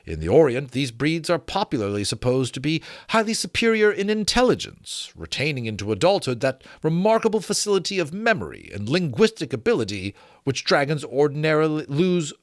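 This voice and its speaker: A man's voice: medium pitch, no echo, clean studio sound. A man hosting a book read-aloud, shifting between theatrical, formal reading and informal asides. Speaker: host reading books aloud.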